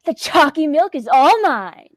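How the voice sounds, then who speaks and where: Teen girl as mouthy excitable kid in a radio play, really high voice, dry close sound. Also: unhurried, no room sound. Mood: playful. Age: teenager. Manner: flippant, slangy, theatrical gasps. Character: cheeky, slangy, excitable Gen Z kid adventurer. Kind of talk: school radio play acting.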